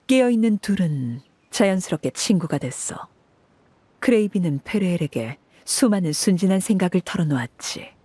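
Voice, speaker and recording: A voice actress playing a cold, composed woman commander in a video game, speaking in a measured, detached and authoritative way. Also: fairly low pitch, slightly rough, no echo. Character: cold, composed commanding woman harbinger recounting a grim past. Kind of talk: scripted video game voice acting. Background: soft background music.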